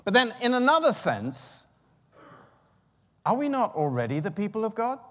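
A man's voice: high-pitched, very animated, moderate pace, a bit bright, big-room sound. A man in his fifties preaching a sermon in a chatty, self-deprecating way, with rambling asides. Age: 50s.

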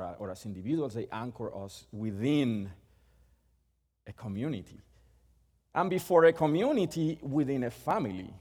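A man's voice: medium pitch, a little mellow, breathy edge. Puerto Rican man preaching in a hall. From Puerto Rico. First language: Spanish. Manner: halting, with many restarts and fillers. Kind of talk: sermon.

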